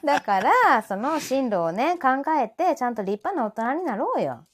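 A woman's voice: high voice, big pitch swings, normal speed, slight background noise. A middle-aged woman with a Kansai accent chatting casually and playfully as co-host of a live stream, prone to giggling.

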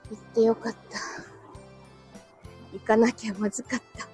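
A woman's voice: a little high, little pitch movement, normal speed, darkish tone, soft background music. A woman chatting casually and politely with viewers on a livestream, laughing often, a little breathless as she walks uphill while recovering from illness. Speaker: livestream host. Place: outdoors, walking on narrow hilly street.

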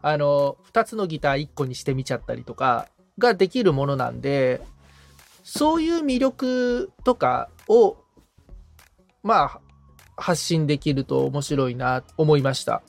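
A man's voice: on the high side, sing-song, a little slow, creaky, no echo, faint music behind. A man assisting on a podcast, talking casually but politely and thinking aloud as he answers. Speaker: podcast assistant, guitar repairman.

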